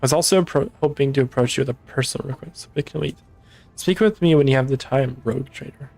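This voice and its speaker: A man with a tired, hoarse voice voicing a grim woman warrior nun who speaks hesitantly and politely. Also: mid-range voice, fast talker, a little mellow, faint music behind.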